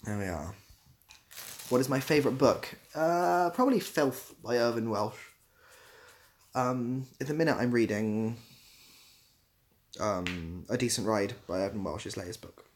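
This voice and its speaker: A man's voice: medium pitch, measured pace, pauses often, a little hiss or hum. A young man hosting a casual livestream, reading out comments and giving dry, self-deprecating, rambling answers. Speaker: livestream host.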